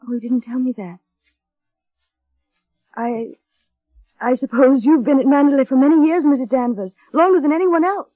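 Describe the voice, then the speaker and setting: Actress as high-voiced, timid young bride, old radio. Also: female voice, normal speed, pauses often, no room sound, lightly acted. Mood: shy, uncertain. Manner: hesitant, polite, wistful. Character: shy, gentle, nervous young bride narrating her story. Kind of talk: 1930s radio drama acting and narration. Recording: dull, muffled vintage radio broadcast, music.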